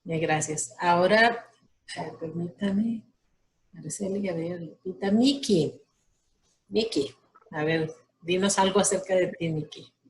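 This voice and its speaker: A woman's voice: on the low side, unhurried, long pauses, rough recording. A woman leading an online class, politely calling on each participant and thanking them. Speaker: class leader, catechist.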